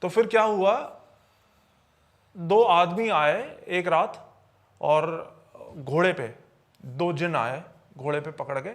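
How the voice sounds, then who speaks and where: A man's voice: fairly high pitch, slow pace, long pauses, a bit bright. A man telling paranormal tales in a conversational podcast style, often repeating and rephrasing phrases for emphasis. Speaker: podcast storyteller.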